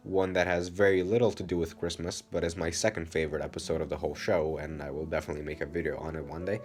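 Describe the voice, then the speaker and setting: A man's voice: low voice, level tone, fast talker, soft background music. A man from Romania, giving loose, rambling commentary on a TV episode from his home and swearing freely. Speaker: video essayist. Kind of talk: casual review commentary. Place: home room, indoors.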